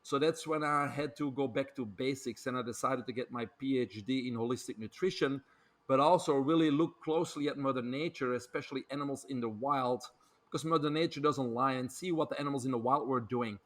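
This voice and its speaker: A middle-aged man with a Belgian accent talks through his career as a podcast guest, speaking fluently and energetically. His voice sits at an ordinary middle pitch for a man, and he leans on small filler words as he goes. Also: a little fast, a bit bright. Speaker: guest, doctor and author. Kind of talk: podcast interview, storytelling.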